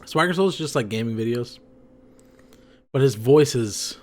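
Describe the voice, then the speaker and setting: A man's voice: medium pitch, animated, moderate pace. A young man co-hosting a podcast in casual chat, a bit tipsy from drinks, rambling with lots of fillers. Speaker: podcast co-host.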